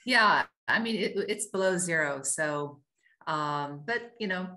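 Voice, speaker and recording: Low-voiced woman chatting on a call. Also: animated, pushed and bright. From California. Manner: chatty, quick, with fillers. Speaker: guest caller in tourism. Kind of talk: casual chat on a video call.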